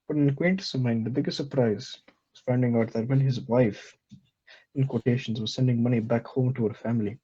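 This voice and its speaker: A man's voice: medium pitch, slightly rough, close to an everyday voice. Man putting on a pompous fake herbal doctor. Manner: pompous, deadpan bragging. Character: boastful self-styled male herbal doctor, absurd. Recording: dull lo-fi recording.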